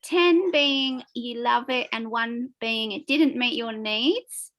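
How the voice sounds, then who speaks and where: A woman co-hosting an online class, upbeat and quick to laugh as she reads out poll results. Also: a little high, a bit bright. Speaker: co-host.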